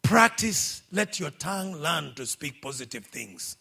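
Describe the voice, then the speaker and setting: A middle-aged man with a Kenyan accent, preaching a sermon in a lively, exhorting way and calling out for amens. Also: fairly high pitch, unhurried, creaky, husky. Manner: lively, exhorting, calls out for amens, quotes scripture.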